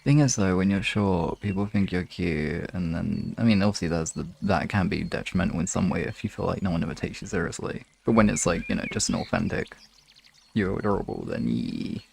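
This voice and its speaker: Low, creaky-voiced man chatting casually. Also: lively intonation, brisk, a little hiss or hum. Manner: informal, rambling, swears casually. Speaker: ASMR streamer chatting with an audience. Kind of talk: casual chat, reading and answering comments.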